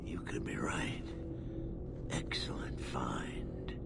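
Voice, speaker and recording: Slow, sneering, greedy dwarf. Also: male voice, a bass voice, sing-song, gravelly, in a whisper, over loud music, heavily acted. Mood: sly. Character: greedy, treacherous, sneering dwarf elder brother. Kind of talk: video game dialogue.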